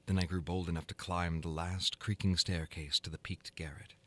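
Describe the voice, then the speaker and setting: A man giving a dramatic reading of a gothic horror story in a theatrical, deliberate manner. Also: low voice, little pitch movement, a bit bright. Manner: theatrical, deliberate, ornate literary delivery. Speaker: narrator, audiobook reader.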